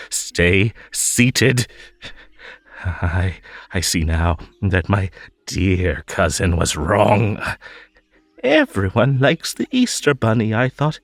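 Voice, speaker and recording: An unhinged, possessive hare host for a theatrical audio drama. The voice is gravelly and creaky, leaping all over in pitch, in a close, dry sound. He stammers and giggles, veering between gushing sweetness and menace. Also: no echo, full character voice. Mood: bitter.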